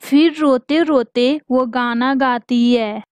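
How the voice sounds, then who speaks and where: A woman's voice: high-pitched, takes it slowly, a little mellow, smooth, dry recording. A woman narrating a scripted children's story as its storyteller.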